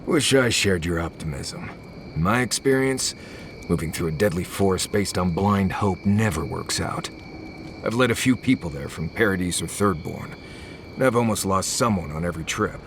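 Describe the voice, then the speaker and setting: A man's voice: deep voice, moderate pace, background noise. A man playing a wary, cynical wilderness guide in a video game, dry and blunt in manner. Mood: sardonic, wary. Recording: background game music.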